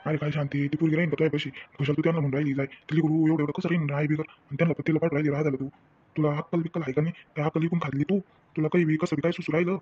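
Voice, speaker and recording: A man playing a blunt, stubborn village husband in an acted family story, repeating himself in a colloquial rural Maharashtrian accent with a husky voice. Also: fairly high pitch, little pitch movement, racing, a little mellow, dull audio, slight background noise, close to an everyday voice. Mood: scolding.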